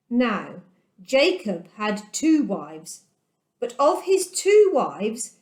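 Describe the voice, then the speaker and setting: A woman reading a children's Bible story aloud as a storyteller, with dramatic, deliberate delivery and emphatic pauses. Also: fairly high pitch, reverberant.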